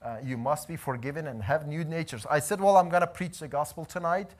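Male missionary, 30s, lively storytelling testimony, fairly high voice. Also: brisk. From Iran. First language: Azeri. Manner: animated storytelling, gesturing while pacing. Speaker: missionary preacher. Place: church hall, indoors.

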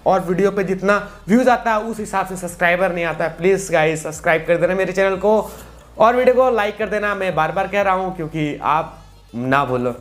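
A man's voice: high voice, brisk, a bit bright. A man, giving a casual, repetitive step-by-step tutorial. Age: 20s. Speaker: tutorial host. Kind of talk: casual video-editing tutorial.

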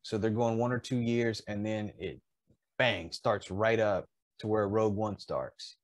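A man in his fifties chatting casually as a podcast co-host, often pausing mid-thought. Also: lowish voice, steady tone, dry recording. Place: indoors in a home collectibles room.

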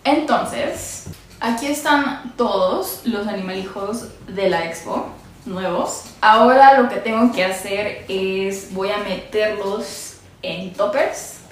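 Young Mexican woman, unhurried, giggly reptile vlogger; very echoey bare room, steady ambience behind. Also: medium pitch, slight background noise. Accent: Mexican Spanish. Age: young adult. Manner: chatty, giggly, coos at her animals. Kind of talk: casual unboxing vlog. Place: small bare indoor room.

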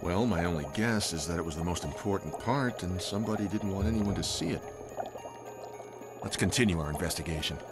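A man playing a burly middle-aged police officer in a video game, gruff but friendly, hearty and protective. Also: low-pitched, a little fast, music in the background. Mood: serious. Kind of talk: dramatic video game acting.

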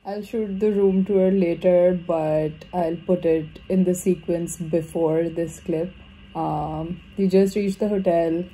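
A woman's voice: fairly low pitch, steady tone, slow pace, music in the background, poor audio. A young woman with a Pakistani accent, chatting to viewers in a casual travel vlog recorded on a phone at arm's length. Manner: chatty, addresses viewers directly. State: tired after long travel. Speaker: vlogger. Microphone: phone camera at arm's length.

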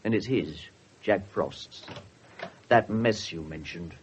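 An English actor playing a seasoned, loyal police sergeant with a dry, measured manner in a radio drama. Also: male voice, low-pitched, slight background noise. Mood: dry, reproving. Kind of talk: 1970s radio drama acting.

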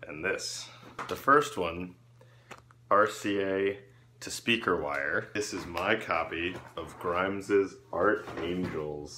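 A man's voice: on the low side, sharp tone, a little hiss or hum. A man in his twenties with an American accent, chatting in an upbeat, casual way for a video diary. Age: 20s. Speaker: vlogger.

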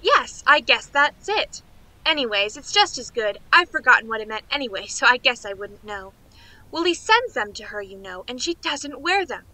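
A woman's voice: on the high side, big pitch swings, moderate pace, pushed and bright, exaggerated voice, rough recording. A woman playing a chatty, naive young niece in a radio play, gushing and rambling in a girlish way. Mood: eager, gushing. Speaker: actress.

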